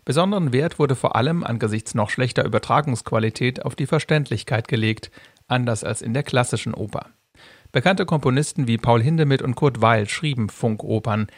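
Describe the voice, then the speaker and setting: A man's voice: mid-range voice, fairly quick, no room sound. Man narrating a radio feature, dry studio sound. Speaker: radio reporter.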